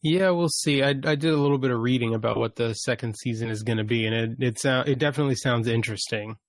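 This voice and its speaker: A man co-hosting a podcast, explaining and qualifying his points in a relaxed, informal discussion. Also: medium pitch, quick pace, no echo. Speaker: podcast host. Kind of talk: casual discussion.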